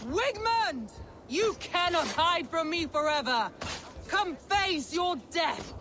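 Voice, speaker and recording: An actress playing a proud, determined Viking jarl in a video game, with a commanding, declamatory delivery. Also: female voice, very high voice, lively intonation, slow, background noise, exaggerated voice. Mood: furious. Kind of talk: video game cutscene acting.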